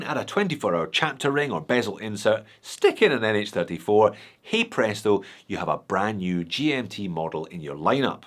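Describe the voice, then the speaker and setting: Male host, middle-aged, nasal from a cold, dry wit, direct-to-camera watch review patter. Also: medium pitch. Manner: dry humour, conversational asides to viewers. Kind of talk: scripted video review.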